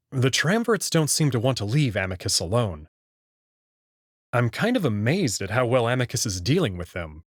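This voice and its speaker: A man's voice: medium pitch, no room sound, clean studio sound. A man reading first-person story narration from a script. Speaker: narrator reading a visual novel aloud. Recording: music playing behind the voice.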